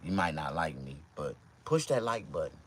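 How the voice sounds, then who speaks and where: A man around fifty with a Mississippi accent, talking to camera in a loose, profane, rambling monologue with sudden loud outbursts. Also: extremely low pitch, very animated, moderate pace, slight background noise. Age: 50s. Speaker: vlogger and stand-up comedian.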